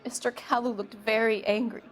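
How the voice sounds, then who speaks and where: An actress playing a nervous, evasive young shop girl giving halting witness testimony in a video game. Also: on the high side, little pitch movement, close to an everyday voice. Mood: reluctant recounting. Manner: hesitant, halting, plaintive. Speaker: witness being questioned by police. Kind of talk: video game dialogue acting.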